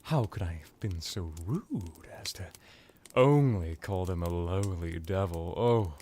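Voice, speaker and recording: A man voicing an ancient, smug vampire crime lord in an ASMR roleplay, drawling with theatrical pauses and sarcastic condescension. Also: low voice, warm tone, slight background noise. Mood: mocking, sarcastic.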